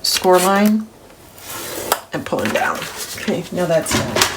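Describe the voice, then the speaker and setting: A middle-aged woman, a little gravelly from grogginess, hosting a papercraft tutorial in a chatty, step-by-step way. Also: lowish voice, lively intonation, slow pace, heavy background noise. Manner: chatty, step-by-step, self-correcting. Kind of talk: crafting tutorial voice-over.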